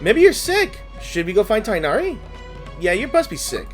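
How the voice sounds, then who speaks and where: Animated gaming streamer, very swingy pitch. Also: moderate pace. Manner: animated, reactive. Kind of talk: casual game playthrough commentary, reading and reacting. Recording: game music faintly behind.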